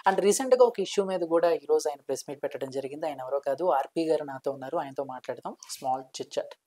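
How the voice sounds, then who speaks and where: A man's voice: on the high side, fast talker, mellow. Man interviewing in a conversational manner. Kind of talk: casual chat interview.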